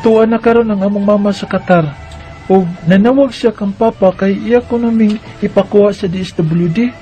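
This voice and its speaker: A woman's voice: mid-range voice, fairly even intonation, moderate pace, over music, lightly acted. Woman doing an anxious young daughter. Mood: narrating. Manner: questioning, anxious.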